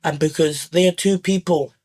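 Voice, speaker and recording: A young man from New Zealand answers in a measured, sincere way, pausing with small fillers. His voice sits fairly high and stays level and even. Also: slightly rough. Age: young adult. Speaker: youth guest. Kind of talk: reflective interview answers.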